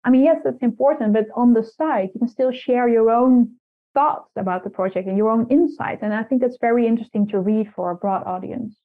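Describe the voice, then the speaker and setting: A woman's voice: a little high, brisk. A woman whose first language is Dutch, a guest answering interview questions thoughtfully, with frequent restarts. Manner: thoughtful, many restarts and repeated words. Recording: tinny phone-like line.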